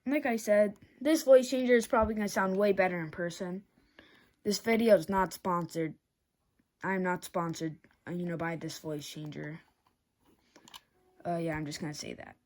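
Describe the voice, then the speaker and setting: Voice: medium pitch, steady tone, moderate pace, long pauses, slight background noise. A casual amateur reviewer talking informally and a little hesitantly in a rambling product review vlog. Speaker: video reviewer.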